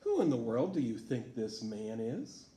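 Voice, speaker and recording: A man's voice: mid-range voice, a little hiss or hum. A man reading a storybook aloud to children as a teacher, asking them questions in an encouraging way. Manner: slow, lively, encouraging teacher talk. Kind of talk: read-aloud lesson for children. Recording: echoey room.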